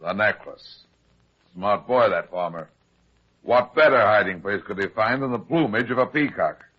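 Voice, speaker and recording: A man playing a heavyset, shrewd private detective in an old-time radio drama, brisk and commanding as he explains things. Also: on the low side, breathy edge. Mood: cool, satisfied. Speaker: lead actor. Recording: dull, lo-fi old radio recording.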